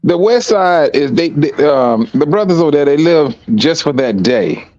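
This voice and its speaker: A man from Chicago talking in a measured, reflective way, often repeating himself as he gathers his thoughts. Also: a little high, lively intonation, a little mellow, dry recording, muffled recording. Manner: measured, repeats words as he thinks. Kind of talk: casual reflective chat.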